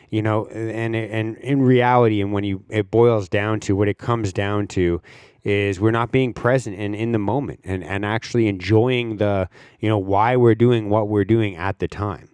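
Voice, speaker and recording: A man's voice: on the low side, darkish tone, no echo, studio quality. A young man hosting a solo podcast, talking in a rambling, reflective way with lots of fillers and casual swearing. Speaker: podcast host.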